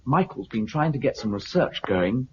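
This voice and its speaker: A man with a British accent playing a brisk, confident recruiter for a survivors' community in a 1950s radio drama. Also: mid-range voice, big pitch swings, a little mellow, natural voice. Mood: persuasive. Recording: muffled, noisy 1950s radio recording.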